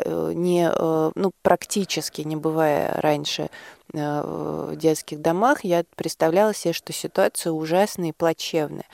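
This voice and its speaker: Low, creaky-voiced woman, thoughtful guest. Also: darkish tone, no room sound, studio quality. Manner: thoughtful, hesitant, searching for words. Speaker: interview guest, project participant. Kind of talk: conversational radio interview.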